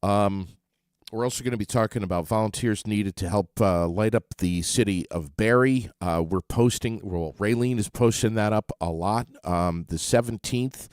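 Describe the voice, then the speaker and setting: A man's voice: fairly low pitch, moderate pace, a little mellow, clean tone, dry recording, clean studio sound. A man hosting a casual morning radio show, upbeat and chatty with lots of fillers.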